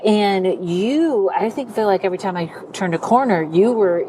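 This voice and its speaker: A woman hosting a podcast, chatting in a loose, conversational way with quick asides. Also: mid-range voice, lively intonation, dark tone, a little hiss or hum, poor audio. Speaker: podcast host.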